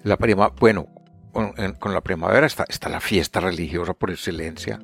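A Colombian man with a Paisa accent, co-hosting a casual astronomy podcast, easygoing and halting with many restarts. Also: sits low, vocal fry, a bit bright, faint music behind. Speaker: astronomy communicator, co-host.

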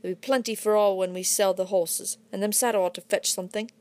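A rough robber, voiced by a woman reading aloud, talks plainly and calmly, matter-of-fact about dividing the spoils. The voice stays close to the reader's own, in a storybook adventure. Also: mid-range voice, fast talker, close to an everyday voice. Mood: reasoning. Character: rough male moorland robber, calm and practical.

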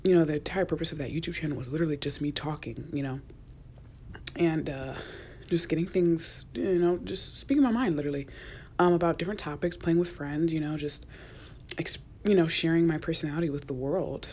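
A young woman, a college student, rambling in a casual solo podcast, chatty and often dropping to a near whisper, recorded in a quiet university office. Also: low-pitched, a little fast. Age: young adult. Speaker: podcast host.

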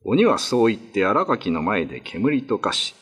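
A man's voice: fairly low pitch, big pitch swings, moderate pace, slightly rough. A man narrating a ghost tale for a radio drama, in a dramatic scripted storytelling style. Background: music.

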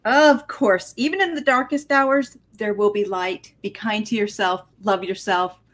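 Medium-pitched woman, conversational guest. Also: unhurried, fairly bright tone. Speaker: featured storyteller guest. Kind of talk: conversational interview talk.

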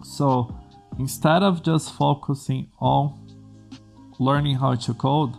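A man from Brazil speaks English as a second language, earnestly talking programmers through career advice. His voice is deeply gravelly and full of fry. Soft music plays quietly underneath. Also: steady tone, slow. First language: Portuguese. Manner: earnest, explains in plain steps with restarts. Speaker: coding school host. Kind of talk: advice vlog to camera.

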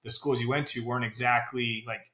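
A man hosting a podcast interview, talking earnestly and quickly and often tagging his points with "right?" and "bro". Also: mid-range voice, steady tone, roomy sound, noisy background. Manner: earnest, fast, says "bro" and "right?" a lot. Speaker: podcast host. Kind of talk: casual interview chat.

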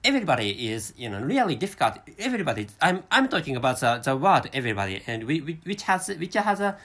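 A man's voice: a little high, very animated, fast, a bit bright. A man with a Japanese accent hosting a solo podcast, talking with a stutter and many restarts. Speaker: podcast host.

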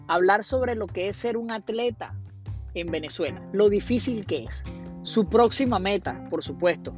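Male podcast host, lo-fi dull sound, faint music bed, upbeat, repeats himself. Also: high voice. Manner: upbeat, repeats phrases, Spanglish fillers. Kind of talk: podcast intro and interview.

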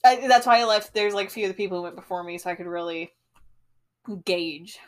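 A woman's voice: mid-range voice, a little fast. A young woman in casual podcast chat, rambling with lots of fillers and laughing often.

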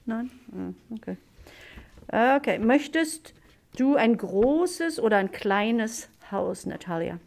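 A woman from Germany, a German teacher hosting a classroom podcast, asking simple questions slowly with many fillers. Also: on the high side, lively intonation, vocal fry, a little mellow.

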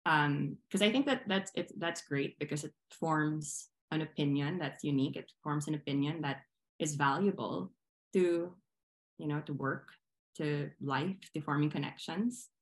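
A young woman from the Philippines with a low voice, speaking as a podcast guest. She reflects earnestly and conversationally, thinking aloud and circling back over phrases as she shapes each point. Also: measured pace. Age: young adult. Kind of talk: reflective conversational interview answers.

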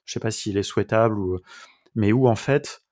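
A man's voice: on the low side, normal speed, a little mellow. A man hosts a podcast. He speaks in a casual, conversational interview style, putting questions and thoughts to his guest.

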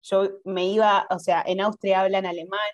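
A woman's voice: mid-range voice, little pitch movement, moderate pace, no echo. A woman from Argentina with an Argentine accent, a guest in a casual interview, talking hesitantly with many fillers and restarts. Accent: Argentine Spanish. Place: abroad, remote call.

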